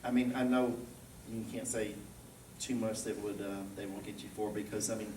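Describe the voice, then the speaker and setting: A man's voice: fairly low pitch, fairly even intonation, moderate pace, darkish tone. A man hosting an interview, asking questions with a joking, teasing manner. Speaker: interview host. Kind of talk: interview conversation. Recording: roomy, distant mic, ambient noise.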